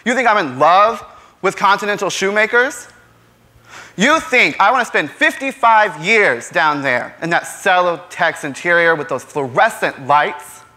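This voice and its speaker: A man's voice: high voice, lively intonation, a little slow, sharp tone, voice pushed up, exaggerated voice. A man performing a stage monologue as a frustrated, defiant young working-class man, with impassioned, sarcastic delivery. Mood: bitter, exasperated.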